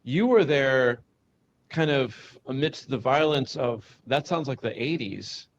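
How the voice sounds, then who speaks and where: Rambling male podcast host, muffled audio. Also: lively intonation. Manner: rambling, halting questions with repeated words. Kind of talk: casual interview chat. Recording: dull lo-fi with faint rumble.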